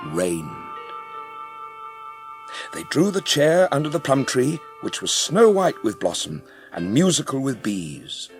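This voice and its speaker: A man narrating a children's story in a measured, expressive way, as narrator of an audiobook drama. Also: mid-range voice.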